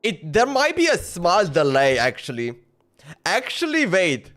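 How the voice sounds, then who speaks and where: A man chatting casually on a live game stream, speaking haltingly and repeating himself. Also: high voice, huge pitch swings, fairly bright tone.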